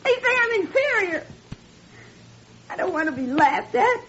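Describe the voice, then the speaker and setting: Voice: very high-pitched, big pitch swings, measured pace, exaggerated voice. A young performer playing a sensitive, hesitant half-French schoolboy in 1940s radio drama style. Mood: upset, plaintive. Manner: plaintive, hesitant. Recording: muffled, noisy 1940s radio recording.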